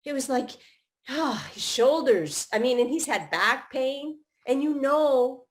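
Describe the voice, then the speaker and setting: A woman's voice: high-pitched, animated, slow pace. A middle-aged woman hosting a live podcast, chatting casually in long run-on thoughts with many restarts. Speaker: podcast host.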